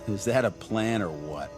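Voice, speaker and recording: A man's voice: on the low side, lively intonation, slow, over music. An actor playing a brash, wisecracking space crewman in a science fiction audio drama, talking in a blunt, folksy, breezy way.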